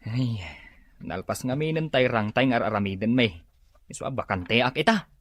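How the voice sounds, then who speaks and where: An actor playing an easygoing, hard-up husband in a radio drama, speaking in a folksy way with an Ilocano accent. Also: male voice, mid-range voice, expressive, normal speed, fairly bright tone, no echo. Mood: hopeful, friendly.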